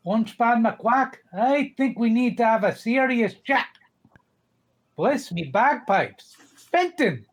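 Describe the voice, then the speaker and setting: A grumpy old male duck tycoon from a cartoon comic, acted out live by an amateur reader. He is businesslike and exasperated, and his pitch swings widely as he talks. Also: expressive, takes it slowly. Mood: stern, concerned. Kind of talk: live comic dub reading.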